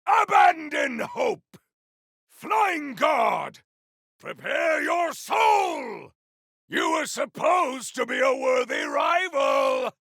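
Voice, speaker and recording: A man playing a zealous, boastful, battle-hungry warrior champion in a video game, with a raspy voice and bombastic, archaic delivery. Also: very high-pitched, huge pitch swings, very slow, sharp tone, dry recording, shouting, clean studio sound, exaggerated voice. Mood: wrathful, exultant. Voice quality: gravelly, raspy. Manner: bombastic, archaic, theatrical declamation. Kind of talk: video game combat barks.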